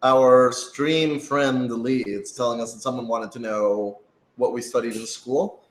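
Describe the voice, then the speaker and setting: A man's voice: mid-range voice, steady tone, measured pace. A man chatting casually on a live stream, answering questions as one of a panel. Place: indoor room, live stream. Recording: echoey room.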